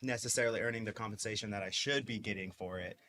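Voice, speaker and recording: A man's voice: lowish voice, fast, bright, forceful tone. A man in his twenties, a podcast guest, talking in an upbeat, rambling way with lots of fillers. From Mississippi. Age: 20s. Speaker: guest, dispensary owner. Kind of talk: casual interview talk.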